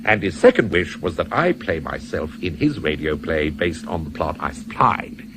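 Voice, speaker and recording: An actor playing an urbane, old-fashioned Satan in a radio play, politely fussy and matter-of-fact about the uncanny. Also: male voice, sits low, sing-song, normal speed. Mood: explaining, genteel. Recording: noisy 1970s radio drama recording.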